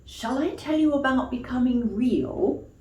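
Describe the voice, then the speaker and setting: A woman voicing a wise, kindly old leather toy horse from a storybook, gently explaining without hurry. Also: high voice, animated, unhurried, echoey room, voice pushed up.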